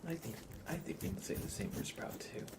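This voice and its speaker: A man hosting an ASMR livestream, chatting casually in a soft, gentle, whispered way. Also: fairly quick, heavy background noise.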